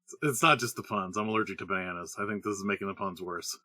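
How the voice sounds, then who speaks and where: A man's voice: low-pitched, fast, heavy background noise, close to an everyday voice. A man playing an exasperated, practical gnome adventurer in a comedy audio drama.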